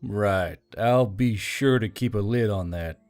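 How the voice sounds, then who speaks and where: A man's voice: lowish voice, slow, a little mellow, no echo, soft background music. A man voicing a wry, tender military warlord in an audiobook story. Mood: sheepish.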